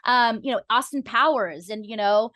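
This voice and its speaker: A woman's voice: on the high side, normal speed, pushed and bright, no room sound, studio quality. A woman guest on a podcast explaining her views conversationally, earnest and articulate, often saying "you know".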